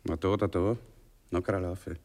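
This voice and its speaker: An actor playing a cocky, lusty young soldier in a radio play, speaking offhand Viennese dialect. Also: male voice, low voice, steady tone, normal speed, darkish tone. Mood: coaxing, gruff. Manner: colloquial, offhand dialect speech. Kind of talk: 1960s German radio play acting. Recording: 1960s radio drama with music behind.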